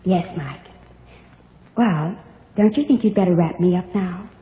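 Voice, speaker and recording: A woman's voice: fairly low pitch, unhurried, a little hiss or hum, close to an everyday voice. An actress plays a kindly, angelic young woman with prim, precise manners. Her voice carries a long echo on a tinny vintage radio recording. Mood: tender, composed. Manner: polite, precise, gently proper. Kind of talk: 1940s radio drama acting.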